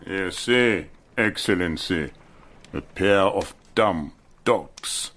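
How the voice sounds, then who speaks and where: A sneering colonel. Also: male voice, sits low, animated, slow pace, a bit bright, exaggerated voice. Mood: contemptuous. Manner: bullying, contemptuous, mocking. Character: brutish, sneering German army colonel, middle-aged. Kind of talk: radio drama acting.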